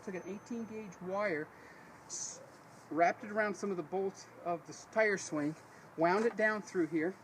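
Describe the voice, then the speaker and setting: Folksy American man, noisy on-location audio. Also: high-pitched. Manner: folksy, rambling, self-correcting. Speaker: host of a DIY car installation video. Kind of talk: casual how-to demonstration. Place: outdoors beside a vehicle.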